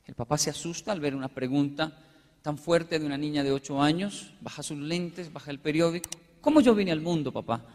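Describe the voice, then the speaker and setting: A Colombian man with a notably high-pitched voice gives a humorous motivational talk. He tells stories in an animated way, acting out short exchanges as he goes. His voice rings with the long reverb of a big hall. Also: fairly quick. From Colombia, with Japanese background. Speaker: motivational speaker. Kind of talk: humorous storytelling talk to a live audience. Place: large hall with live audience.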